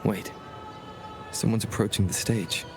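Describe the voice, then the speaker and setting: An actor playing a thoughtful, observant male adventurer in a game, describing things in a measured, calm way. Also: fairly low pitch, lightly acted. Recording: music behind the voice.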